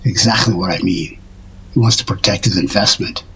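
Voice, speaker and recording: A husky-voiced man in an audio drama, a defensive lover and patron. He coaxes and pleads, then slips into evasive sarcasm. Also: sits low, brisk, sharp tone, heavily acted, low-quality recording. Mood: irritated.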